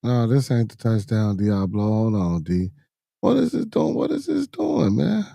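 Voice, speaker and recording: A hoarse man with a low, dark, mellow voice hosts a casual show. He talks in loose slang and mutters to himself as he fights with the screen share. The sound is dry and close. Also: very animated, a little slow, dry recording. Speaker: podcast host. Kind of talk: casual live-stream chat.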